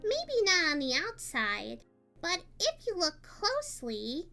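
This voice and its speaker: A woman voicing a cheerful, hyper young female party pony in a fan fiction audio story, girlish and expressive. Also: slow pace, creaky, heavily acted. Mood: playful, matter-of-fact. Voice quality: high-pitched, girlish. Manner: bubbly, expressive. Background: music.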